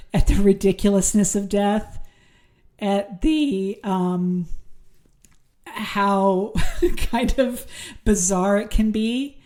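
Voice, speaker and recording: A woman's voice: medium pitch, warm tone. A woman hosting a horror movie podcast, chatting casually with many ums, her voice a little worn. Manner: chatty, lots of ums and restarts. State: losing her voice. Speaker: podcast host. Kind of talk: casual solo review and news.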